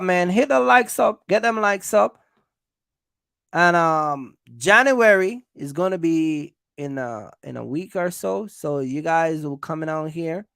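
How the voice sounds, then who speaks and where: Higher-pitched, creaky-voiced man livestreaming advice. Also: fairly bright tone, no room sound, clean studio sound. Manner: emphatic and repetitive, addressing viewers directly. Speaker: host of a trucking business livestream. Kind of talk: casual livestream advice talk to viewers.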